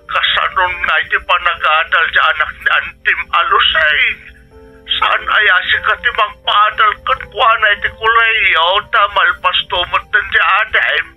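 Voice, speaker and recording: A man's voice: sits high, fairly even intonation, measured pace, breathy edge, dull audio, faint music behind, full character voice. An actor playing an anxious, plaintive middle-aged Filipino father with an Ilocano accent in a radio drama. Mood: worried, pleading. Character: anxious, aging Filipino father pleading with his daughter.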